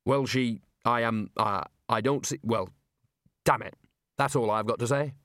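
A man's voice: mid-range voice, unhurried, a bit bright, no echo, clean studio sound, natural voice. A man voicing a fussy, flustered older male wizard who stammers and hesitates.

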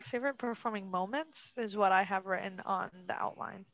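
A woman's voice: mid-range voice, fairly quick, a bit bright. A young woman co-hosting a podcast, chatting casually, giggling and teasing, wandering off on tangents. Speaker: podcast co-host. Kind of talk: casual chat.

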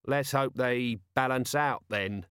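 A man's voice: medium pitch, slow, a bit bright, clear, resonant tone, dry recording. A man voicing a weary, dry, sardonic watch commander in an audiobook, with understated delivery.

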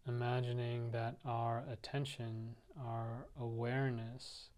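A man leading a guided meditation, speaking gently and softly in unhurried, spaced-out phrases. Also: medium pitch, flat delivery. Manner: soft, gentle, unhurried instructing with pauses. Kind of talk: guided body-scan meditation.

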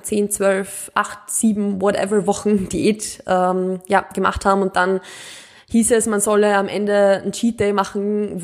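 A chatty young Austrian woman hosts her own podcast, talking freely on her own. Her Upper Austrian accent colors her standard German, and she laughs often and slips English words into her sentences. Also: medium pitch. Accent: Upper Austrian (Mühlviertel), speaking standard German. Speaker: podcast host. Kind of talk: casual solo podcast chat.

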